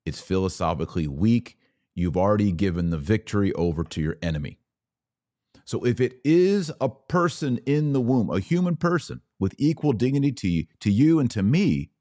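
An American man hosting a political commentary podcast, speaking in a deliberate, emphatic monologue that leans on repeated words for stress. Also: on the low side, a little mellow. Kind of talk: opinion monologue to camera.

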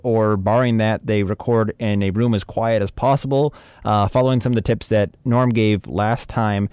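A man's voice: on the low side, a little mellow, no room sound. A man giving informal, chatty instruction as an audio drama producer, with wry humour.

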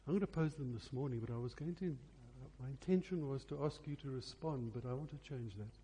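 A man preaching a revival sermon earnestly and deliberately, pressing his questions with repeated emphasis. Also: mid-range voice, mellow, a little hiss or hum. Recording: husky, very reverberant church hall sound.

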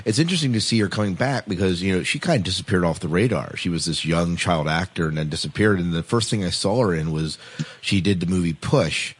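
Deep slightly rough guy hosting a chatty podcast, stumbles and repeats words. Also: quick pace. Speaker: podcast host. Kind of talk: casual panel discussion.

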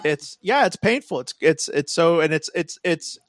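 Halting man in a dry, close recording. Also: on the high side, animated, dry recording. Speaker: podcast co-host. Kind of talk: casual film discussion.

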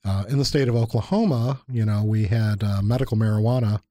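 A man's voice: fairly low pitch, a little fast, dark tone, dry recording, studio quality. A man co-hosting a casual chat, talking in a reflective, thoughtful way. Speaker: university lecturer and podcast co-host.

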